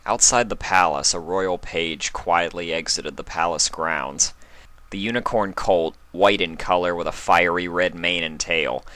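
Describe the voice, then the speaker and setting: A man with a very low voice narrates fiction as a storyteller. He reads from a script, and the recording is dry with no room sound. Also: a little slow, sharp tone, dry recording. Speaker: narrator reading fan fiction.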